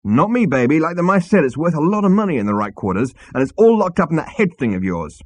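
A cocky storybook alien hustler acted by a male reader, pitched well up and swooping with excitement. He is slangy, impatient and greedy, with a rough-edged voice. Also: quick pace, dry recording. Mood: pushy, excited. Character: brash, impatient, greedy male alien hipster space rogue.

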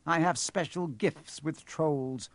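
A man narrating a storybook, voicing a cold, gloating male villain professor with a sharp, mocking, unhurried delivery. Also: a little high, dry recording, pitched above the performer's own voice. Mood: coolly menacing.

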